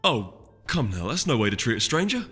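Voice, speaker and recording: A man's voice: medium pitch, sing-song, fairly quick, fairly bright tone, breathy edge. A man voicing an amiable young male winged unicorn in a storybook reading, polite, placating and hesitant. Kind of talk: audiobook character voice. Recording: echoey hall reverb, music behind.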